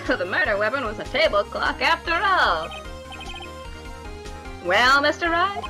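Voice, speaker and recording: A woman voicing a composed courtroom judge in a video game, speaking in a measured, formal way. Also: high-pitched, very animated, music in the background, full character voice.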